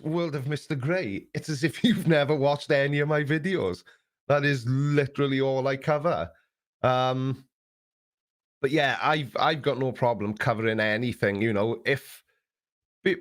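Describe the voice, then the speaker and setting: A middle-aged man hosting a live Q&A stream, chatting casually in a rambling, sarcastic, self-mocking way. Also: mid-range voice.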